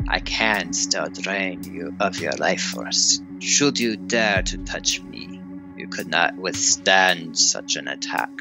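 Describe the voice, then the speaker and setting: An actor as menacing proud vampire, formal, deliberate, radio drama villain, music underneath. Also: deep voice, rough recording. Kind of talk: audio drama acting.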